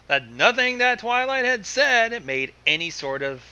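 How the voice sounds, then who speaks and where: A man giving casual, informal commentary on a story, in a halting way. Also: very high-pitched, very animated, bright, forceful tone, clean tone, lo-fi sound. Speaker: co-commentator on a fan fiction reading. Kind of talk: casual reaction chat.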